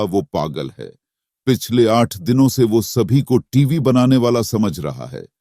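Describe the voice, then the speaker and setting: A man's voice: medium pitch, warm tone, no room sound, studio quality. A man narrating a cartoon horror story in a dramatic, suspenseful scripted style.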